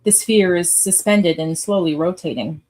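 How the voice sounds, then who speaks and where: Woman narrator with a lowish voice. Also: normal speed, a little mellow. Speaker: narrator reading stage directions. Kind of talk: scripted narration for live radio theatre read.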